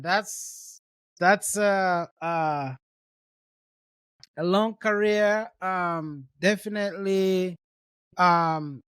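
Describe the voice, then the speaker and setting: Friendly host from Rwanda, dry sound. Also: male voice, high voice, fairly bright tone, clear, resonant tone, no room sound. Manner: friendly, repeats words while thinking. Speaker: podcast host. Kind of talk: conversational interview.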